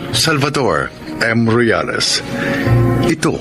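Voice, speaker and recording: A man's voice: mid-range voice, sing-song, takes it slowly. A man delivering scripted, dramatic narration as a radio drama announcer. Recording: loud music over voice.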